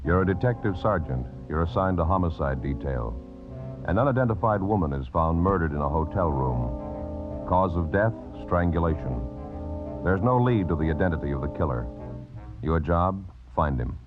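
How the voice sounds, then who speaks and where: Very deep, grave radio announcer. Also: male voice, expressive, moderate pace. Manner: grave, clipped, declarative. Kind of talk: 1950s radio drama scripted introduction. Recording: tinny vintage radio recording, music.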